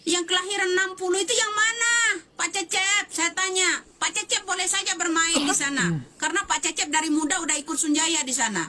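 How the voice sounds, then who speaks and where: A fiery woman in her fifties speaks high and sharp, hammering her points with repeated phrases and pointed questions. A faint hiss sits under the clip. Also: brisk, hardly any pauses. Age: 50s. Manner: fiery, emphatic, repeats phrases and demands answers. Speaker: social media commentator. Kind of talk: impassioned video statement.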